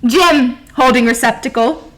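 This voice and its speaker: A woman acts out a stern grandmother in a stage adventure. Her voice is fairly high and very bright, with slow, emphatic, imperious delivery. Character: stern, bossy, dramatic grandmother running an inn. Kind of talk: theatrical stage acting.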